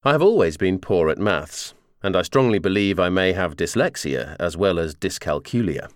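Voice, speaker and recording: A man narrates an audiobook memoir in a low-pitched voice with lively, varied intonation. His reading is measured and clearly articulated, delivered as polished scripted narration in a dry, close recording. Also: no room sound.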